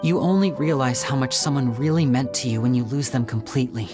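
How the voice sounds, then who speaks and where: A man's voice: mid-range voice, a little fast, natural voice. A man voicing a suave young stage magician. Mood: wistful. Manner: theatrical, playful showman, soft reflective narration. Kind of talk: video game voice acting. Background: game music.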